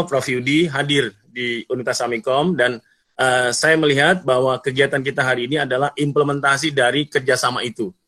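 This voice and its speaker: A man's voice: medium pitch, fairly bright tone. A middle-aged man from North Sumatra, chatting and joking with the audience as a guest speaker in an online seminar over a computer mic. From North Sumatra, Indonesia. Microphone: computer mic on a video call.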